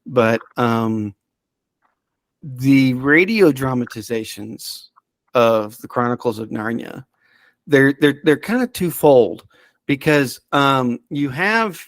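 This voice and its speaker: A man in casual podcast conversation, speaking as the resident expert. He rambles and hesitates, circling back on his own sentences, in a mid-pitched voice recorded close and dry. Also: expressive, slow pace, dry recording. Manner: hesitant, rambling, self-interrupting. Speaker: podcast subject-matter expert. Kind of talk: casual chat.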